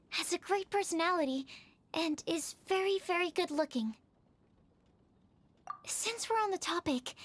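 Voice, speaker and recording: A woman playing an earnest, shy young female alchemist in a video game, polite and bookish with a soft-spoken, hesitant manner. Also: extremely high pitch. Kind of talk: video game cutscene dialogue. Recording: faint music behind the voice.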